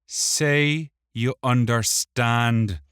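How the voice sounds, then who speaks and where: A man's voice: on the high side, big pitch swings, measured pace, dry recording, studio quality, voice pushed up. A man voicing a small, anxious young male hero in an audiobook, exasperated and demanding. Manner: urgent, demanding. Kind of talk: audiobook character voice.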